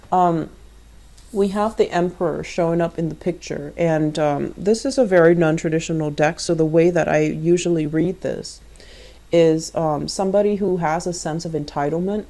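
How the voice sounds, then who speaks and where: A woman's voice: fairly low pitch, mellow. A woman giving a conversational tarot card reading, explaining things and speaking directly to the listener. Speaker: tarot reader and channel host.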